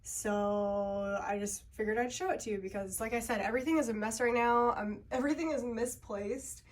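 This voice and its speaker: A woman's voice: fairly high pitch, normal speed. A woman in her thirties with a congested voice, chatting casually and rambling to the camera at home. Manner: chatty, rambling, laughs often. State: congested, feeling sick. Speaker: booktuber. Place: home, sorting bookshelves indoors.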